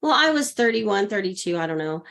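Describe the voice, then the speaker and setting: Woman, around 60, podcast guest, expressive storyteller, mid-pitched voice. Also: brisk, gravelly. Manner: animated storyteller who acts out the voices she quotes. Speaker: guest, intuitive leadership coach.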